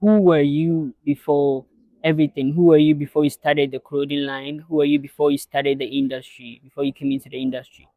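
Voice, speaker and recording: A young man hosting a podcast with a fairly high voice. He keeps the interview loose and friendly, dropping many yeahs and okays between questions. The sound is dry and close. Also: flat delivery, a little fast, no echo, phone-quality sound. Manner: friendly, many fillers like yeah and okay. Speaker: podcast host. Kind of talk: casual interview.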